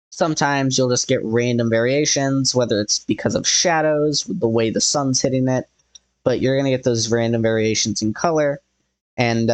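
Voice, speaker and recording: A man walks viewers through a building tutorial in a relaxed, thinking-aloud way, his voice a little hoarse because he is losing it. He sits at a middle pitch, and the recording is dry and close. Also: no echo. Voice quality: slightly hoarse. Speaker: tutorial host. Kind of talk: casual game building tutorial.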